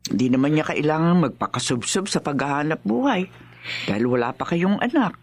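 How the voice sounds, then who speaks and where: A woman's voice: low voice, expressive, a little fast, no room sound. A woman playing a widowed older mother in a radio drama, grave and emphatic. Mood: cautioning.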